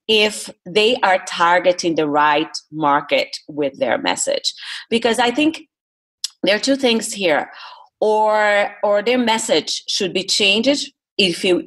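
Husky low-voiced Brazilian woman. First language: Portuguese. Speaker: guest, public speaking coach. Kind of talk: interview talk.

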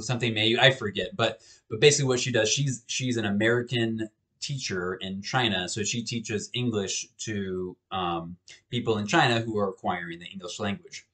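A man's voice: on the low side, fairly bright tone. Casual man lecturing from his webcam. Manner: casual and conversational, with frequent restarts and repeated words. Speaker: professor. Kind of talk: recorded online lecture. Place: small home office, indoors.

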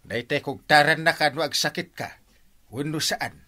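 An actor with an Ilocano accent playing a cranky, boastful, mocking uncle in a radio comedy drama, with a husky voice and scoffing delivery. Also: male voice, on the high side, normal speed, sharp tone. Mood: mocking, scolding.

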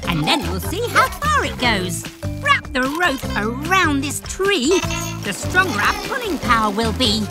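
An actor voicing a cheerful, helpful gecko mechanic in a preschool cartoon, eager and encouraging. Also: male voice, very high voice, huge pitch swings, unhurried, full character voice. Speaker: main character of a children's cartoon. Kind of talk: animated preschool cartoon acting. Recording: loud music under the voice.

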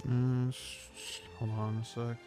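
A man's voice: medium pitch, flat delivery, measured pace, over loud music. A man chatting casually and informally as he streams a game, muttering asides. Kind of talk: casual livestream chat.

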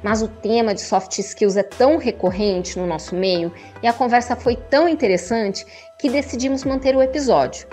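A woman with a Brazilian Portuguese accent hosting a podcast, giving clear, measured introductions. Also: on the high side, mellow. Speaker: podcast host. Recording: roomy echo, faint music.

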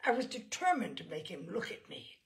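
Woman reading as an anguished, bitter wife confessing, creaky voice. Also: mid-range voice, big pitch swings, a little fast. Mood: furious. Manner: dramatic, intense, hushed then rising to cries. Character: tormented younger wife confessing, bitter, seething, haunted.

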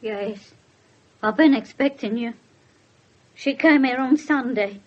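An actress playing an aggrieved, defensive English spinster in a radio drama, speaking haltingly. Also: female voice, on the high side. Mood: halting confession. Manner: halting, faltering. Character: aggrieved, defensive cat-owning spinster confessing to violence. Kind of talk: 1970s British radio drama acting. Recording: muffled 1970s radio broadcast.

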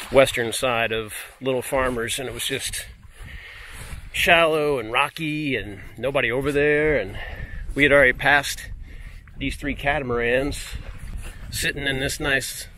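A man's voice: mid-range voice, steady tone, unhurried, bright, forceful tone. Middle-aged man vlogging outdoors, faint noise. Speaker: travel vlogger. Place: outdoors on a beach and boat by the sea. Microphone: handheld camera at arm's length.

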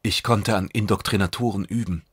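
A man acts out a young male mutant in a science fiction audiobook. He sounds earnest, nervous and tentative, speaking quietly. The recording is dry. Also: on the low side, steady tone, a little fast, breathy edge, no room sound. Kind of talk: audiobook character dialogue.

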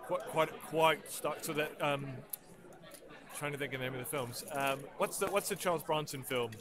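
A man's voice: a little high, normal speed. A man, a comic artist, talking casually about his new comic at a convention, hesitant and searching for words. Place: convention floor. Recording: noisy convention crowd behind.

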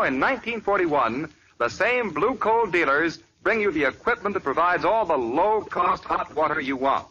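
A man's voice: pushed and bright, slight background noise. Persuasive old-time radio announcer man. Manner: smooth, persuasive pitch. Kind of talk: 1940s radio commercial. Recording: old muffled radio recording.

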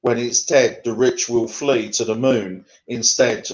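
A man's voice: mid-range voice, steady tone, unhurried, poor audio. A middle-aged man from England, a poet reading his rhyming verse on a video call, then answering questions in a conversational way with fillers. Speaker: poet guest. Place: home room.

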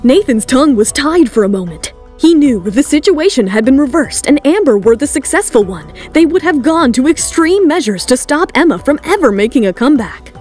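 A woman's voice: high-pitched, big pitch swings, fairly quick, few pauses, darkish tone. A woman reading scripted, dramatic third-person narration for an audio drama. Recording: music bed under the voice. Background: background music.

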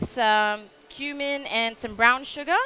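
A young Australian woman talks a live crowd through a recipe on a show-stage kitchen, chatty and rambling, full of fillers. Her voice is bright and fairly high, over a faint room murmur. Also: slow, slight background noise. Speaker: cooking demonstrator. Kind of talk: live cooking demo.

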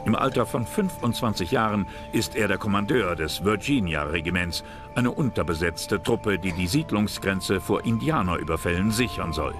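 A man's voice: on the low side, expressive, moderate pace, over music. A man reading scripted historical documentary narration as the narrator.

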